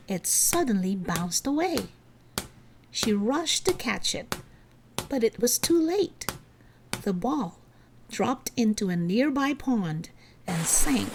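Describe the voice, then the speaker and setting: A woman narrating a scripted children's story in an expressive, measured way. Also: a little high, noisy background.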